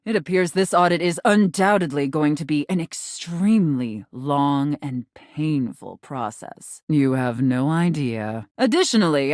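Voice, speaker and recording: A woman playing an imperious, teasing dominatrix in a video game, speaking formally and condescendingly with deliberate, commanding phrasing. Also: sits low, dry recording, clean studio sound. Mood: stern, official. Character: imperious, teasing dominatrix, a living safe given human form. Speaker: voice actor. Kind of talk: scripted video game character acting.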